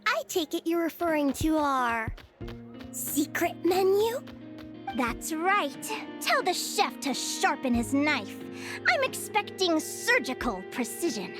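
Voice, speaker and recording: A woman's voice: high-pitched, very animated, slow pace, full character voice. A woman voicing a playful, eager young child in an anime-style video game. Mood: playful pretend. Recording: music under the voice. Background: game music.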